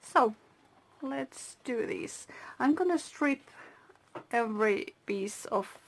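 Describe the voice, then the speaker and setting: A Finnish-native woman hosting her own craft channel, talking through a handmade journal slowly, with pauses and soft whispered asides. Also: on the high side, animated, creaky, a little hiss or hum. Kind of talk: casual crafting flip-through.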